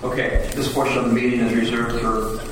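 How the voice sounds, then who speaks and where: A man's voice: mid-range voice, brisk. Man chairing a meeting in an echoey hall. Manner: relaxed, procedural, many fillers. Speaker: commission chair. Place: council chamber. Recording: echoey room, distant mic, noisy.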